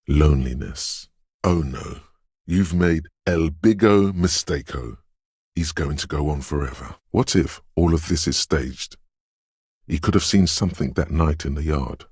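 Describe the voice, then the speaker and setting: Game role: ominous dreamlike inner voice, very raspy, unhurried, close and dry, cryptic and hushed in its intensity. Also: male voice, dry recording, heavily acted. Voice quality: very deep, gravelly, husky. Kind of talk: dramatic game narration.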